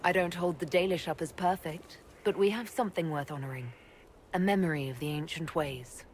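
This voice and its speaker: A woman voices a heroic elven leader in a video game. She sounds calm, gentle and compassionate, with a slightly low voice and clear ambient noise around it. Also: brisk, lightly acted. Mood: calm, curious. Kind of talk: video game dialogue acting.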